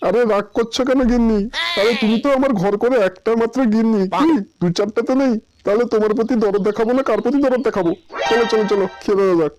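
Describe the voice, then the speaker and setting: A high-voiced, scheming cartoon husband, acted by a man, who talks very quickly. He coaxes and flatters, repeating his words in hurried bursts, with light music underneath. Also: natural voice. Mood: coaxing. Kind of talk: comic Bengali cartoon dialogue.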